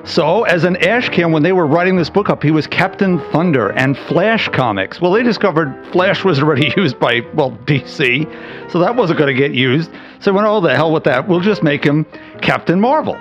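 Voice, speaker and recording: A man with a fairly high, lively voice explains things in a casual podcast manner. The sound is slightly dull, with faint music behind him. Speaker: podcast regular giving comic history.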